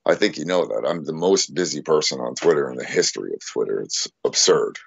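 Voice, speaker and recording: A man with a bass-tenor voice co-hosting a podcast, talking casually and confidently and often adding "you know what I mean". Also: animated, brisk, vocal fry, breathy edge, dry recording, muffled recording. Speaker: podcast co-host and streamer. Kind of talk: casual chat.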